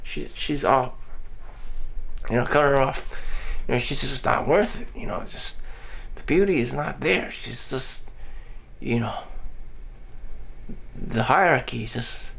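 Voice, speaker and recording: A man's voice: medium pitch, expressive, unhurried, gravelly, pauses often, fairly bright tone, slight background noise. A man talking bluntly in a rambling personal monologue for his vlog, full of "you know" fillers, restarts and casual swearing. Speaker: vlogger. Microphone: audio-only recording.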